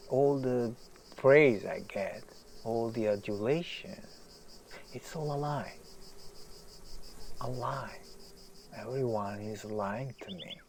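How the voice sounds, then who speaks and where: Guy acting tortured famous writer in an audio drama, croaky voice, full of self doubt, hushed then emphatic, hissy outdoor bird and insect background. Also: medium pitch, takes it slowly, long pauses. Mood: agitated. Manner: brooding, intense, swinging between hushed and emphatic. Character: anguished, self-doubting famous male writer, restless and self-deprecating. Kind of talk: naturalistic audio drama monologue. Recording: clear background hiss, outdoor nature ambience.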